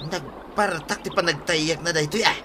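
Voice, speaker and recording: A man's voice: on the high side, moderate pace, background noise, close to an everyday voice. A man with an Ilocano accent playing a bossy, scheming village buddy in a radio comedy drama, coaxing in a conversational way. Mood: businesslike.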